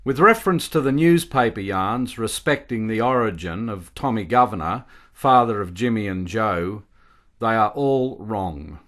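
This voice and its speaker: An actor playing a matter-of-fact, callous colonial squatter's son reminiscing in a radio play. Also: male voice, fairly low pitch, expressive, measured pace, fairly bright tone.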